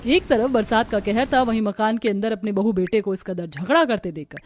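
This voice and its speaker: A woman's voice: medium pitch, brisk, a little hiss or hum. A woman giving scripted narration for a cartoon story as its narrator.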